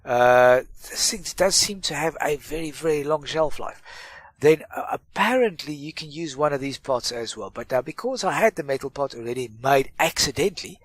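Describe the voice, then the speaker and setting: A man's voice: fairly high pitch, lively intonation, moderate pace, dry recording. A middle-aged man with a stuffy cold, hosting a casual gameplay tutorial and chatting through it with self-mocking asides. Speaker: gaming channel host.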